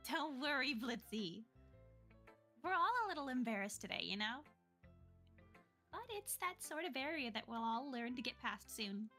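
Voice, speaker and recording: A woman's voice: high-pitched, very animated, moderate pace, pauses often, sharp tone, heavily acted. A woman voicing a confident, encouraging schoolgirl literature club president in visual novel dialogue, reassuring and chirpy. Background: game music.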